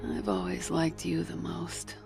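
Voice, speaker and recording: Wounded motherly anime fighter, creaky voice, tough, solemn, emotional, music behind. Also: on the low side, little pitch movement, unhurried. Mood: solemn, resolute. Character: wounded, tough, motherly squad commander's comrade, proud and tender. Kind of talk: anime audio drama acting. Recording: music under the voice.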